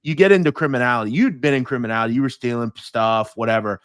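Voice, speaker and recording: A man's voice: mid-range voice, fast talker, clean tone, no echo. A male interview host with a mid-range voice, recorded dry and close with no room sound. He asks pointed questions, stops to explain background, and stumbles over repeated words. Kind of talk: conversational interview.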